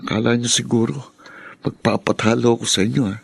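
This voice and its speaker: A man's voice: mid-range voice, fairly even intonation, moderate pace, creaky, husky, no room sound. A man with a Filipino accent playing a spiteful, taunting younger brother and defiant son in a radio drama, with a sneering, melodramatic delivery. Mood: scheming, bitter.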